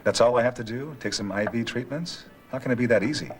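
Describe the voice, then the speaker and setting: A man's voice: medium pitch, quick pace, lightly acted. A man acting as a curious, skeptical patient, asking casual questions in scripted dialogue. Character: curious, skeptical male patient weighing a treatment.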